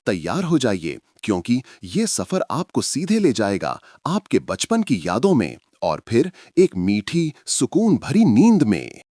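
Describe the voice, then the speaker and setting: A man's voice: mid-range voice, wildly swooping pitch, measured pace, hardly any pauses, dark tone, no echo, studio quality. A man narrating a story in a dramatic scripted style, speaking to his listeners as friends.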